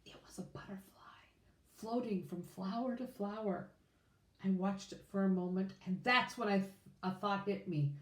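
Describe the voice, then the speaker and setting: A woman reading a children's storybook aloud in an expressive, informal way. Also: medium pitch, moderate pace. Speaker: children's book reader. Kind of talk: read-aloud storybook narration. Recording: echoey room.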